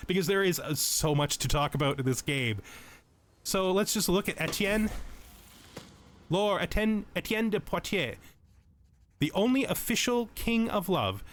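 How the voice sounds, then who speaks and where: Higher-pitched man narrating a strategy game. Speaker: let's play host. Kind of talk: tutorial game commentary mixed with reading lore text aloud.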